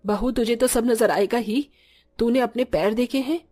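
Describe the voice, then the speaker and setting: A woman's voice: fairly high pitch, normal speed, dark tone, soft background music, close to an everyday voice. A woman playing a middle-aged village mother-in-law in a moral story, plain-spoken and given to complaining. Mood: annoyed. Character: grumbling short village mother-in-law, slowly softening. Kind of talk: scripted Hindi moral story voice acting.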